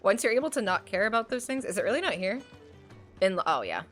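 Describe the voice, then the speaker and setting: A woman's voice: mid-range voice, very animated, fast, fairly bright tone, faint music behind. A woman streamer with a nasal voice chatting casually while playing, rambling with lots of fillers. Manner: rambling, many fillers, cackling laugh. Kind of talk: casual chat while gaming.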